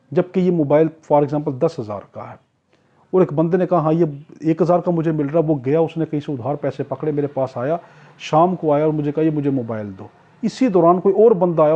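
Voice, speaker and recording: A man's voice: a little high, dark tone. A man with a Pakistani accent teaching law in an explanatory lecture, posing questions and answering them himself. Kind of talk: explanatory lecture with acted-out examples. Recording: dull, muffled lo-fi lecture recording.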